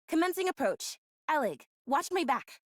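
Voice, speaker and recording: A woman's voice: sits high, very animated, normal speed, no room sound, clean studio sound. A woman playing a capable, businesslike young maintenance specialist in a video game, with confident phrasing. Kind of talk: video game cutscene acting.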